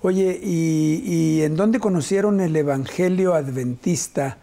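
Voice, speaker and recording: A man in his fifties hosting a TV interview in a studio, speaking in a measured way with frequent short affirmations. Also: fairly high pitch, vocal fry, mellow. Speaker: host. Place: TV studio set.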